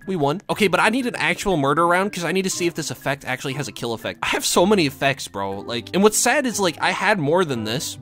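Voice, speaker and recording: High-pitched, animated young man, slightly sick. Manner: slangy, playful, exclaims often. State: slightly sick, voice a little deeper than usual. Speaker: gaming video creator. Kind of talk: excitable casual gameplay commentary.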